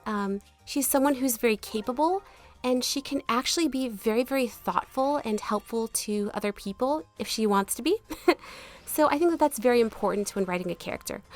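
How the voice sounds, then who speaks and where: A woman's voice: high-pitched, fairly bright tone, no echo. A woman chatting casually as she answers viewer questions in a gaming video, polite and easygoing, her voice not fully back after being sick. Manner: chatty, laughs easily, polite. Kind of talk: casual chat over gameplay. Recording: music behind the voice. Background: background music.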